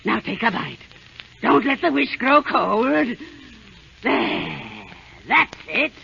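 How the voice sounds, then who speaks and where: A woman's voice: a little high, huge pitch swings, slow, creaky, sharp tone, husky, exaggerated voice. An actress playing a wicked old witch queen disguised as a crone, with a crackly, cackling voice, coaxing and then gloating, in a 1930s radio drama. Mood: wheedling. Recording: scratchy lo-fi 1930s radio broadcast.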